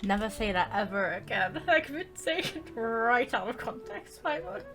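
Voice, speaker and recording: A woman's voice: on the high side, animated, normal speed, gravelly, over music. A woman hosting a casual game playthrough, reacting aloud in a playful way. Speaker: gaming video host.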